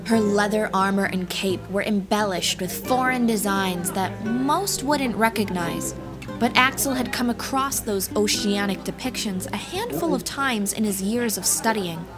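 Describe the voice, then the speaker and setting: A woman reads a fantasy story as its narrator, in a mid-range voice. Music plays clearly behind her scripted narration. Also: mid-range voice, unhurried.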